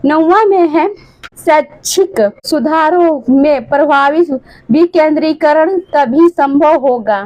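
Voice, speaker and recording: A woman teacher with a hoarse throat, reading exam questions aloud and giving the answers to her students. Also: sits high, slow, darkish tone, highs cut off. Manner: addresses students fondly, reads questions then answers.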